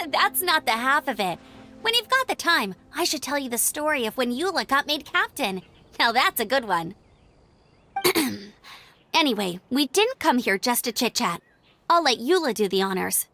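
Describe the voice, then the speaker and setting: High, bubbly young scout girl. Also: sing-song, a little slow, bright, forceful tone, a little hiss or hum, full character voice. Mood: cheerful, admiring. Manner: bubbly, informal, chatty. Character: upbeat, chatty, admiring young female scout. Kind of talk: video game voice acting. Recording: background music.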